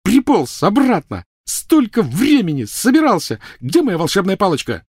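A man voicing a furious, shrill teenage girl witch in an audiobook reading. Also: wildly swooping pitch, moderate pace, darkish tone, husky, no echo, clean studio sound, exaggerated voice. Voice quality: shrill, screaming.

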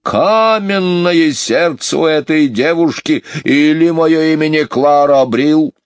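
A man's voice: fairly high pitch, slow pace, dry recording, pitched above the performer's own voice. A man voicing a gossipy, fussy, scatterbrained housekeeper woman in a storybook, gushing in a sing-song way. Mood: grumbling, fussy. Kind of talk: audiobook character voice.

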